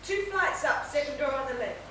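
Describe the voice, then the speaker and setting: A woman playing a brisk, wry, businesslike streetwalker with a kind streak in a stage comedy, projecting to the house. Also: sits high, expressive, sharp tone. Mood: amused, kindly. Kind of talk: live comedic stage play acting. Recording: echoey theatre stage, distant mic, noisy.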